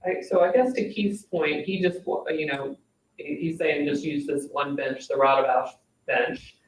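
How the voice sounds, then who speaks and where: A man's voice: on the high side, normal speed, a little hiss or hum. A man who is a board member, talking informally through questions at a meeting. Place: indoor public board meeting room. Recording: echoey meeting room, distant mic.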